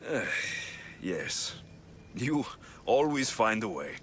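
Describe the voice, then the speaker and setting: An actor playing a guarded, sardonic but protective Greek mercenary in a video game cutscene, talking in a dry, teasing way. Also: male voice, on the low side, big pitch swings, slow pace, slight background noise. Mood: concerned, teasing. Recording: music behind the dialogue.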